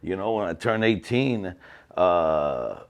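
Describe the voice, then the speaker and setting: Guy in his fifties, real low voice, rambles and restarts a lot, chatty interview. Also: slow, dull audio. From Cuba. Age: 50s. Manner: animated, rambling, many restarts. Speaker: cigar company owner. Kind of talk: casual storytelling interview.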